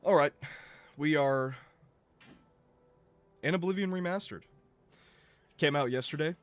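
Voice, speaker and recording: A man streaming a game live, talking casually and rambling, his voice going a bit rough as he loses it. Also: fairly high pitch, unhurried, no echo. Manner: rambling, chatty, swears and laughs. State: losing his voice.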